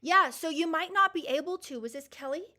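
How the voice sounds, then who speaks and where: Lady hosting a live q&a, upbeat and emphatic, bright high voice. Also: a little fast. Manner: enthusiastic, emphatic, reassuring. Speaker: host. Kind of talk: live Q&A answering viewer comments.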